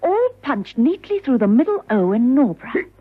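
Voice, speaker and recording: An actress playing a brisk, sharp-witted woman secret agent in a classic spy radio drama, teasing and confident. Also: high voice, sing-song, breathy edge, full character voice. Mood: keen, deducing. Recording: muffled old radio broadcast recording.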